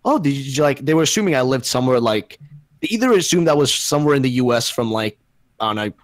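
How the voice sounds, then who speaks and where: A man's voice: medium pitch, animated, fast, no room sound. A man from Bosnia with a shifting, mixed English accent, rambling and swearing in a casual podcast chat. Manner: rambling, swearing, self-interrupting. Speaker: podcast host.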